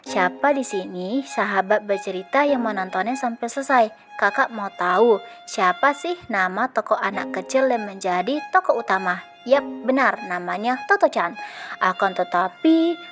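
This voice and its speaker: A young woman from Indonesia reading a children's story aloud, asking her young listeners lively questions. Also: medium pitch, moderate pace, faint music behind. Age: young adult. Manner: lively, addresses listeners as "sahabat bercerita", rising questions.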